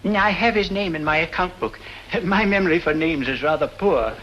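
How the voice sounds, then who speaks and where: An actor playing a fussy, easily flustered and forgetful art shop owner in an old detective drama. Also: male voice, a little high, normal speed, fairly bright tone, dull audio, natural voice. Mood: flustered, polite. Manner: fussy, scolding, flustered exclamations. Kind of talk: old-time detective film acting. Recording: old soundtrack with background noise.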